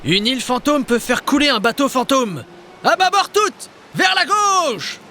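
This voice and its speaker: A man's voice: very high voice, sing-song, a little slow, sharp tone, slight background noise, exaggerated voice. A man voicing an adventurous, commanding ghost sea captain in a children's cartoon, with a hearty, theatrical delivery. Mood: urgent. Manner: hearty, theatrical, barks nautical orders.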